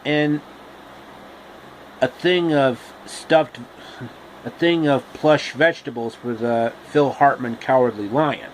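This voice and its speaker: A man narrating a comic story casually and haltingly, pausing mid-sentence. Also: medium pitch, slight background noise, rough recording.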